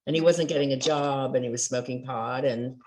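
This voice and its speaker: A woman's voice: extremely low pitch, brisk, a little mellow. An older woman speaking as a guest coach in a casual podcast interview, telling stories and acting out quoted dialogue with animation. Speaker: trauma recovery coach guest.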